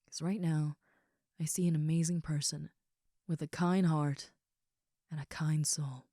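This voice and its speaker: Affectionate young female ogre roommate played by a woman for comfort roleplay audio: very low-pitched, relaxed, soft and sincere, close dry sound. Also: a little slow, dry recording. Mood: tender, sincere. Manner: soft, sincere, rambling, reassuring.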